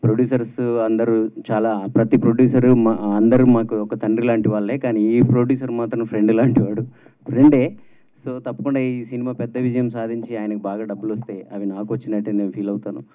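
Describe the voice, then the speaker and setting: Man, lowish even voice, celebratory launch speech, far from the mic, tinny phone-like recording. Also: fairly quick. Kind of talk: well-wishing speech at a film launch. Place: film launch event, at a microphone. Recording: distant, phone-like tinny PA sound.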